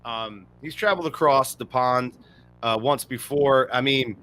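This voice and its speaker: A man co-hosting a casual sports podcast, talking in an animated way and laughing often. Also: medium pitch, faint music behind. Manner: animated, laughs often, breaks into quick impressions. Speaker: podcast co-host.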